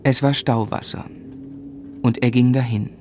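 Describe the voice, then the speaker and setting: A man acts the first-person narrator of a radio drama, an earnest young gentleman. His voice sits at medium pitch and he tells the tale in measured, sensitive, literary phrases. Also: fairly even intonation, slight background noise, natural voice. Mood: sombre.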